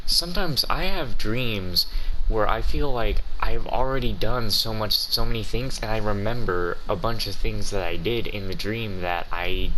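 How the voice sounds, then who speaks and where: A man's voice: fairly low pitch, bright, forceful tone, a little hiss or hum, poor audio. A man giving casual, rambling, sweary commentary as he plays a video game. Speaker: gamer recording a playthrough.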